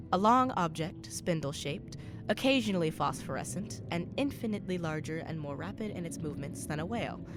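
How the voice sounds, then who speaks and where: A woman narrating a radio play as a grave, scholarly professor, in formal, measured storytelling. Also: lowish voice, lightly acted. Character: grave, scholarly professor recounting a sea mystery.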